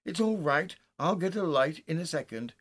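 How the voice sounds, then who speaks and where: A man's voice: a little high, expressive, normal speed, a bit bright, husky, voice pushed up. A man reading a horror story as an exasperated, alarmed friend who snaps back with urgent impatience. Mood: savage, impatient.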